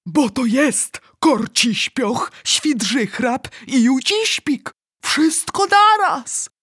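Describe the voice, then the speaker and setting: A woman voicing an excitable, bossy male giant in a storybook, exclaiming theatrically in garbled made-up words. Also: mid-range voice, slow, husky, no room sound, clean studio sound. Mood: dramatic despair. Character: excitable male giant dream-catcher, bossy, dramatic, word-mangling.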